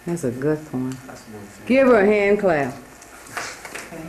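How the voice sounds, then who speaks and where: A woman's voice: fairly low pitch, sing-song, a little slow, a little mellow. Firm woman teacher in an echoey classroom. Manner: firm, directive, prompting students with questions. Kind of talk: leading a classroom discussion. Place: school classroom. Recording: echoey classroom, from far off, faint hiss.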